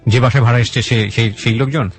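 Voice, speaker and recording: A man's voice: medium pitch, fairly even intonation, quick pace, slightly rough, faint music behind. A man with a Bangladeshi Bengali accent hosting a radio interview, mixing English words into his talk. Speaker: radio host.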